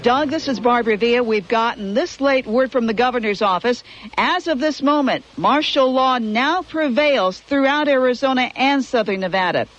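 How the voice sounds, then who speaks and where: An actress playing a composed radio news anchor handing off to live reports in a radio drama. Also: female voice, fairly high pitch, dull audio, a little hiss or hum, lightly acted. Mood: brisk. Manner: crisp, brisk news delivery.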